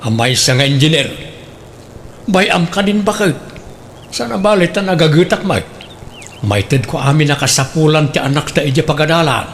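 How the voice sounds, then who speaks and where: Grumbling husband, higher-pitched voice. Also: male voice, lively intonation, moderate pace, pauses often, a little hiss or hum. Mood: exasperated. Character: exasperated, put-upon husband, lowly village watchman. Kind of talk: comedic radio drama acting.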